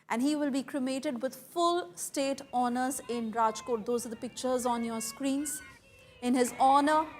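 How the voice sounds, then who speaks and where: A woman news anchor with an Indian accent and a notably high voice. She presents live, pausing and repeating words as she goes.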